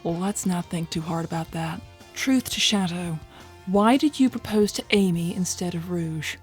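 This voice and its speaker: Woman voicing a husky, sly cartoon bat thief. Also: lowish voice, moderate pace, faint music behind. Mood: casual, teasing. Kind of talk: fan-made cartoon dub.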